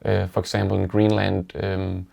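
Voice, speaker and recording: A man with a Danish accent, a native Danish speaker, casually and reflectively telling stories in an interview with halting pauses. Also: low voice, monotone, vocal fry, dark tone. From Denmark.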